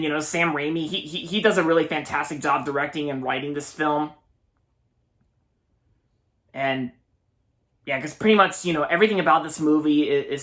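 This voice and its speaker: A man's voice: a little high, fairly bright tone. A man in his twenties giving a casual, enthusiastic movie review, often repeating words and restarting his sentences. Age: 20s. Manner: enthusiastic, gushing.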